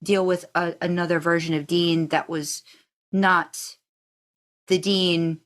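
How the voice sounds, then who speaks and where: A woman with a low voice hosts a casual interview podcast. She phrases her questions in a halting, meandering way, circling around a point as she talks. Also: little pitch movement.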